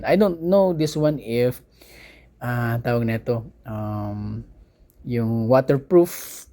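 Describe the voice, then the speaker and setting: A man with a Filipino accent talks through a product like a vlogger doing an unboxing. His voice sits at a middle pitch, and his delivery is casual and conversational, halting now and then as he searches for the next word. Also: dark tone. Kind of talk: casual unboxing and review talk.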